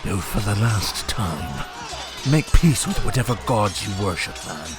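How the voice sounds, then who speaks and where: An actor voicing a grim, solemn warrior with an archaic way of speaking in a comic-book dub. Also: male voice, on the low side, very animated, a little slow, over music, crowd noise. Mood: grim menace. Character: grim, solemn male warrior, ready to kill.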